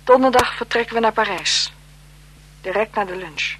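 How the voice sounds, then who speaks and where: Woman acting a guarded, high-voiced marquise. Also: normal speed, a bit bright, a little hiss or hum, close to an everyday voice. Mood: brisk. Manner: formal, hesitant, evasive with her companion. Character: bored, guarded French marquise, wife and mother. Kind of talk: 1970s Dutch radio drama acting.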